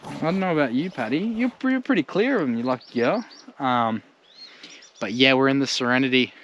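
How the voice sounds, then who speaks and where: A man's voice: mid-range voice, animated, fairly quick, fairly bright tone, muffled recording. Australian man vlogging outdoors amid noise. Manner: rambling, informal, slangy. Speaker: travel vlogger. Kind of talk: casual chat to camera. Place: outdoors in rural bush campsite. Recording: noisy outdoor recording with birdsong. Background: birds and background noise.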